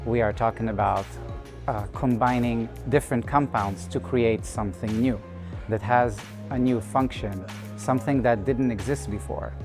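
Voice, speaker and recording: A man from Israel, an artist speaking thoughtfully and in measured phrases about his own work. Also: medium pitch, over music. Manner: thoughtful, measured, with pauses and restarts. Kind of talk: reflective personal statement.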